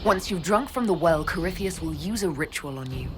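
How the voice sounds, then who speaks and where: An actress playing a composed, persuasive military leader in a video game, speaking in a firm, measured way. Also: female voice, on the low side, lively intonation, over music, natural voice. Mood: firm challenge. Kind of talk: dramatic video game dialogue acting.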